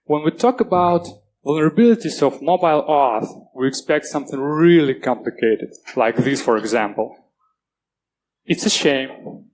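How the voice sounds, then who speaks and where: A man's voice: medium pitch, a little hiss or hum, low-quality recording. Russian-accented man giving a security talk. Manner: earnest, plain-spoken, halting restarts. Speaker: conference speaker. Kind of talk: technical conference talk. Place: conference stage.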